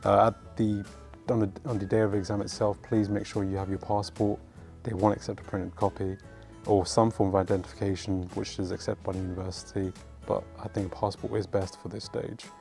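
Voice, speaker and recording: Low calm-voiced man, music underneath. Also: creaky. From England. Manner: calm, matter-of-fact advice. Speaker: medical student. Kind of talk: interview answers.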